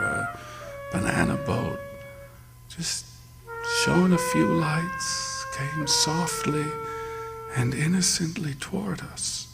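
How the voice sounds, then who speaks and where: An actor playing a haunted lighthouse keeper who tells his ordeal in a radio play, with gravelly dramatic storytelling that drops to hushed tones. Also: sits high, long reverb tail, exaggerated voice. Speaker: narrator and lead actor. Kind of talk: live radio theatre.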